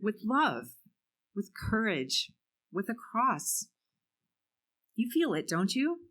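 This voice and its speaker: A woman's voice: mid-range voice, big pitch swings, takes it slowly, exaggerated voice. A woman playing hopeful, reassuring Miriam. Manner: gentle, reassuring. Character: hopeful, faithful townswoman Miriam. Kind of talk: amateur church dramatic reading.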